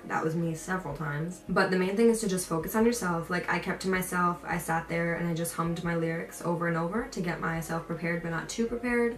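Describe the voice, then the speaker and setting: A young woman chatting casually as a vlogger, informal and emphatic, repeating phrases as she tells stories and gives encouraging advice. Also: lowish voice. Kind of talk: casual chat to camera, storytelling and advice. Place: indoor room. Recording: roomy echo, distant mic, faint noise.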